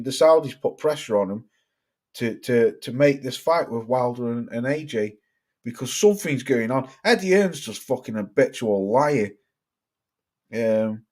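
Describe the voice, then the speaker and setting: A young English man with a Cheshire accent roughened by Stoke speech, talking loosely to his viewers. He rambles, swears freely and speaks bluntly, at an ordinary mid pitch for a man. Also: darkish tone. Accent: Cheshire English mixed with Stoke. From Cheshire, England. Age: young adult. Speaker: livestream host. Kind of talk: casual live chat reading viewer comments.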